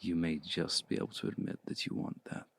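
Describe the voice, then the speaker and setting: A man playing a seductive, commanding male vampire in an intimate ASMR roleplay, speaking slowly and deliberately in a hushed, breathy voice close to the mic. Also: fairly even intonation, no echo, full character voice. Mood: seductive, coaxing. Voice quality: low, gravelly, breathy. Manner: slow, deliberate, hushed, often breathy near-whisper. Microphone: close mic, dry.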